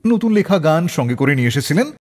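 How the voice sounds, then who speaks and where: A male radio host tells stories in a dramatic style. His pitch swings very widely as he acts out dialogue, and his voice is rather husky. It sits in a long reverb. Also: fast. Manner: animated, acts out quoted dialogue. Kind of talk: dramatic radio storytelling between songs.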